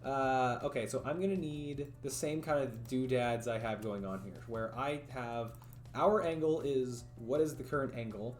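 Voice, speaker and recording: A man streaming live, thinking aloud as he codes and chats with viewers, his voice a little raspy from talking for hours. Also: medium pitch, darkish tone. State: voice getting raspy from long talking. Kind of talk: casual livestream coding commentary. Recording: roomy echo, faint music.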